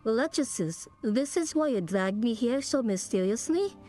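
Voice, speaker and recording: Slow-paced woman doing casual game commentary. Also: on the high side, expressive, dark tone, faint music behind. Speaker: gamer playing through a story quest. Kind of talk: casual gameplay commentary, reading dialogue aloud.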